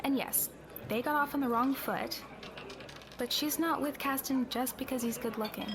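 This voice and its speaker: A woman's voice: high voice, normal speed, background noise. A woman playing a young tavern keeper and confidante who talks bluntly but reassuringly, in a conversational roleplay drama. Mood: firm, candid.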